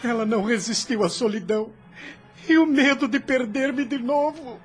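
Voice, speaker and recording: An actor playing a greedy young man who fakes frantic grief over his mother, shouting and pleading in a radio drama. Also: very high-pitched, normal speed, a little hiss or hum. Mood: feigned panic.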